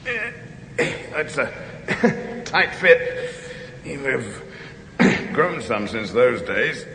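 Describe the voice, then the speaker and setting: A reckless film maker barks orders and laughs, his voice bright and swooping. He sounds echoey, as if in a big stone room, with a long reverb tail. Faint hiss sits under the old tape. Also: mid-range voice, big pitch swings, very slow, exaggerated voice. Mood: boisterous. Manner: bossy, boisterous, laughing, commanding. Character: brash reckless male horror film maker. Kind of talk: 1970s radio drama acting. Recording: roomy echo, hiss, old radio tape.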